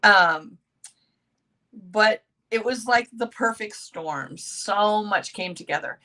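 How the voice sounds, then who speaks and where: A middle-aged woman with a voice a little raspy from yelling shares her experience on a video call, earnest and halting, with many restarts. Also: mid-range voice. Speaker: sales consultant guest. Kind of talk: personal sharing on a video call.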